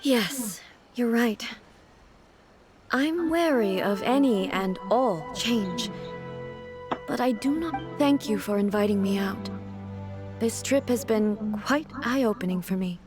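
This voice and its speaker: A woman playing a composed, reserved female deity ruler in a video game, speaking in a formal, measured and deliberate way. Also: on the high side, animated. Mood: sincere, grateful. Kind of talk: video game character dialogue. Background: music.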